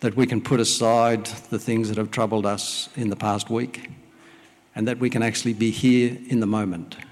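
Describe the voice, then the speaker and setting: A middle-aged man from Wales, a native Welsh speaker, preaching a sermon and praying in a church service, speaking plainly with frequent "uh" pauses. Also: lowish voice, a little mellow. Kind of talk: sermon with prayer. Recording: long hall reverb.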